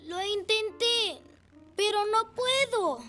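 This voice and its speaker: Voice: very high voice, lively intonation, slow, bright, forceful tone, full character voice. An actor voicing an earnest, eager young rescue motorcycle boy in a children's cartoon, with a Latin American Spanish accent. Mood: doubtful. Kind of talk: animated children's cartoon dub.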